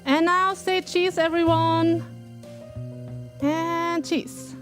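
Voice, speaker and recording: A woman's voice: very high voice, fairly even intonation, drawn-out words, soft background music. A German woman streaming live from her home kitchen while baking, chatting casually with viewers and laughing often. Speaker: cooking streamer.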